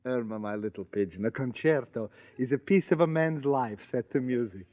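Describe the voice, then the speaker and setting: An actor playing an excitable older music professor and composer in a 1940s radio comedy, theatrical and wisecracking. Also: male voice, medium pitch, moderate pace, close to an everyday voice. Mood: dramatic, anguished. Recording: muffled 1940s radio broadcast.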